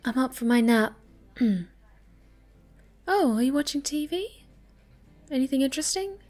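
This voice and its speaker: A woman voicing a snobby, bratty but secretly soft young cat girl in an ASMR roleplay, with a haughty, pouty manner and flustered stammering. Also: medium pitch.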